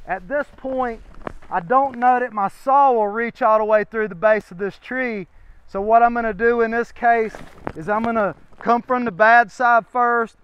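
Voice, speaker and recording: High-pitched, clear-voiced man teaching tree felling. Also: moderate pace, highs cut off. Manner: methodical, checks in with listeners. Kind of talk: hands-on outdoor demonstration, explaining step by step. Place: outdoors in woodland.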